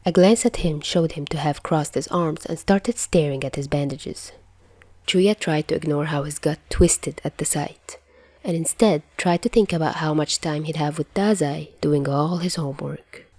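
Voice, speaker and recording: A woman's voice: deep voice, normal speed, mellow, no room sound. A woman who reads fan fiction aloud as host of her own channel. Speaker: podfic reader and channel host. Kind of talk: narration of fan fiction and casual updates.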